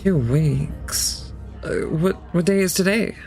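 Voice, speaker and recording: A very creaky, slow-talking young woman, voiced by a man in an audio drama. The character is polite but guarded, giving hesitant, evasive answers. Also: a little high, a little mellow, faint music behind. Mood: hesitant, confused.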